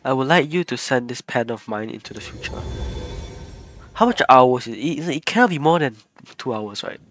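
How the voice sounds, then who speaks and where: A man's voice: medium pitch, expressive, fairly quick, a bit bright, slight background noise. A man streaming a video game, giving informal, rambling live commentary in a casual, chatty way. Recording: game music behind the voice.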